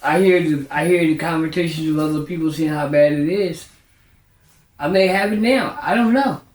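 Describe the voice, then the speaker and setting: A man's voice: fairly high pitch, moderate pace. A man in his seventies speaking in a candid group discussion, answering in short, plain admissions. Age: 70s. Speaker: discussion participant. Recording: roomy, distant mic.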